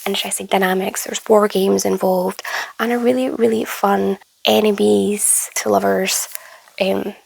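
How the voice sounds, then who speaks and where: Croaky, gravelly woman vlogger recovering from flu. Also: mid-range voice, rough recording. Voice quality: hoarse, gravelly. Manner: chatty and enthusiastic, drifting into soft whispery stretches. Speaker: book vlogger. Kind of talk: casual reading wrap-up to camera.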